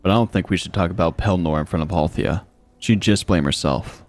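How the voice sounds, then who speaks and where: Man voicing a low-voiced, serious young trainee. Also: brisk, darkish tone, close to an everyday voice. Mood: respectful, concerned. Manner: respectful, frank. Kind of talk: audiobook character dialogue.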